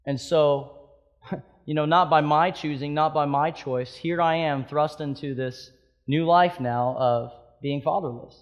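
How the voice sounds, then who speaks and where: A man's voice: a little high, steady tone, unhurried, highs cut off. Gepassioneerde man in de dertig, preek. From Hawaii. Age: 30s. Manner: impassioned, swinging between loud emphatic peaks and soft hushed stretches. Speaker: pastor. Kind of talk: sermon.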